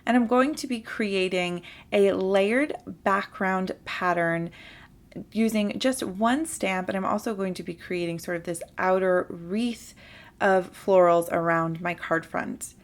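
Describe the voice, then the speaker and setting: A young adult woman with a slight sore throat hosting a card-making tutorial and calmly explaining each step. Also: medium pitch, unhurried. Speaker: papercraft tutorial host. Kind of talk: instructional voice-over for a crafting video.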